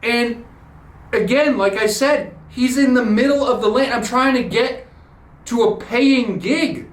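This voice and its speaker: A man's voice: extremely high pitch, measured pace. A man hosting a podcast, telling a blunt, profane, sarcastic story in a ranting monologue. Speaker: podcast host. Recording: roomy echoey room.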